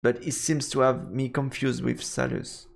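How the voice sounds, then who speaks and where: A man's voice: mid-range voice, little pitch movement, moderate pace, creaky. A man doing a let's play, reading game narration aloud and reacting casually as he goes.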